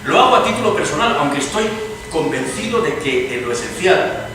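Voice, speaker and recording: A man playing an eloquent, earnest Spanish liberal gentleman in a dramatized reading, measured and courteous. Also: on the high side, sing-song. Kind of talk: dramatized stage reading, radio theatre. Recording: echoey hall from far off, noisy.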